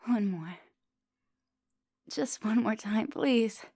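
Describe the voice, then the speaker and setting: A woman playing a seductive, gentle vampire professor in an intimate ASMR roleplay, murmuring and whispering coaxingly up close. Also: on the high side, drawn-out words, no room sound, exaggerated voice. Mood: hungry, pleading. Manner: breathy murmurs and whispers, coaxing pet names. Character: seductive female vampire professor, hungry yet gentle and polite. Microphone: close microphone.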